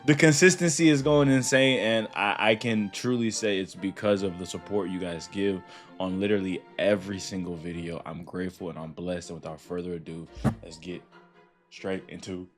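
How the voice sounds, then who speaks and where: A man's voice: low-pitched, steady tone, normal speed, faint music behind. A young man giving casual, chatty let's play commentary, slangy and reacting aloud. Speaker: gaming YouTuber.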